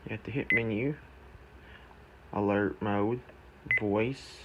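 A man talking himself haltingly through a gadget in a casual, unscripted walkthrough, recorded on a handheld phone. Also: lowish voice, fairly even intonation. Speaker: amateur product demonstrator. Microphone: handheld phone camera. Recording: very noisy handheld recording with button beeps.

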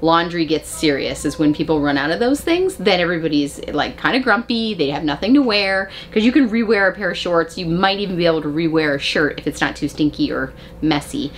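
A woman's voice: sits low, lively intonation, brisk, few pauses. An American woman in her forties chatting emphatically to camera as she gives practical tips in a casual vlog. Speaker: vlogger sharing RV living tips. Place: inside an RV living space and bedroom. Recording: clear background ambient noise.

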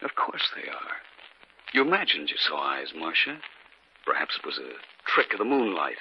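An actor playing a steady husband caught in an eerie haunting, with deliberate, dramatic 1950s radio delivery. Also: male voice, extremely low pitch, heavily acted. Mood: soothing. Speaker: actor narrating and playing the lead. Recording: dull, noisy 1950s radio recording.

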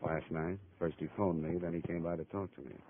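Hardboiled male investigator, American, low, clipped delivery, tinny vintage radio sound. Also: fairly even intonation, fairly quick, slight background noise. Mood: probing, subdued. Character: hardboiled male insurance investigator, cool and probing. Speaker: lead radio actor, narrator. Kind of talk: 1950s radio detective acting, first-person narration. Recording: muffled old radio recording, tinny.

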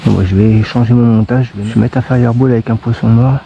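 A man's voice: mid-range voice, quick pace, vocal fry, dull audio. A French man filming his own fishing, giving casual running commentary to himself outdoors by a river. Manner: muttering to himself, bursts of excited swearing. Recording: outdoor riverbank with loud water noise.

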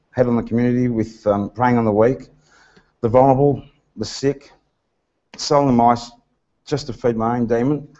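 A middle-aged man gives a prepared personal testimony in a small seminar room, with frank, deliberate phrasing. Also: mid-range voice, a little mellow. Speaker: guest speaker giving personal testimony. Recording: dull lo-fi seminar webcast sound.

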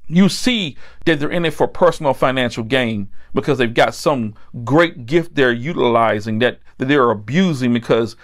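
A man's voice: medium pitch, expressive, normal speed, few pauses. A middle-aged American man delivering a sermon as a preacher, blunt and admonishing, driving points home with repetition. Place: indoor set with backdrop, standing at a table.

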